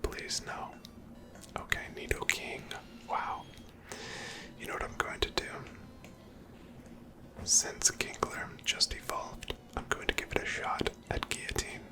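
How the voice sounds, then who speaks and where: A person whispering gentle, chatty ASMR commentary over a video game, breathy and close to the microphone. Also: unhurried, pauses often. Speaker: gameplay host.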